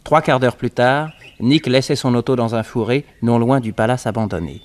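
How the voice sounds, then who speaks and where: A man's voice: medium pitch, moderate pace. A man reading scripted narration for a radio serial on an old, slightly noisy recording.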